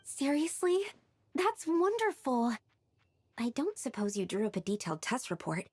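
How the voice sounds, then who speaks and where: An inquisitive young tinkerer in a video game. Her voice is high, soft and breathy, with animated, eager questioning. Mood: curious. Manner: rapid-fire questioning.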